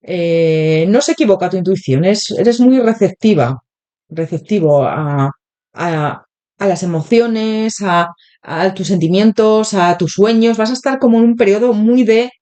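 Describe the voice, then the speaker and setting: Woman, Spain Spanish accent, tarot reader, warm mellow voice, sore throat after a cold, chatty with pauses. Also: medium pitch. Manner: chatty, addresses viewers directly, many filler pauses. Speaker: tarot reader, channel host. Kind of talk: interactive tarot reading addressed to viewers.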